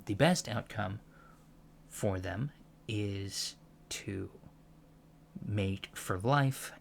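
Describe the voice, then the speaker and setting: A man playing a gentle, earnest alien professor in a soft-spoken ASMR lecture roleplay, with a halting, thoughtful delivery. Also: fairly low pitch, slight background noise. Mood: earnest, calm. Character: gentle, earnest male alien college professor teaching about humans.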